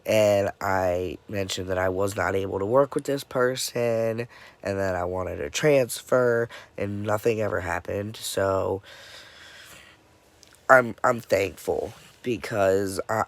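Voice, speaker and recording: A young man talks slowly in a somewhat low voice, stuffy and congested as he comes down with a cold. He sounds worn out and drifts through his update haltingly, with many pauses and filler words. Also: gravelly. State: dead tired. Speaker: vlogger. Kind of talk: casual personal update.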